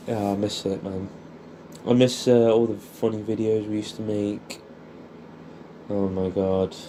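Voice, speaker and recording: A young man with an English accent and a soft, mellow voice reminisces as if to an old mate. He rambles nostalgically, swears freely and keeps ending statements with little questions. There is faint noise behind him. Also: on the low side, level tone, slow, long pauses. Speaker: audio creator. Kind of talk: casual intimate reminiscing addressed to an old friend.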